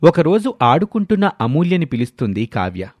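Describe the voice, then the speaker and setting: Animated male storyteller. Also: fairly high pitch, brisk, soft background music. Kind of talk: scripted moral story narration.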